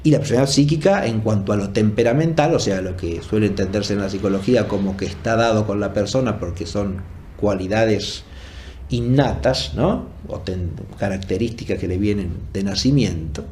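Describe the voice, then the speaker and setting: A man giving an explanatory academic lecture off the cuff, deliberate and often checking for agreement with short tag questions. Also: fairly low pitch, darkish tone, a little hiss or hum. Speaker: philosophy lecturer.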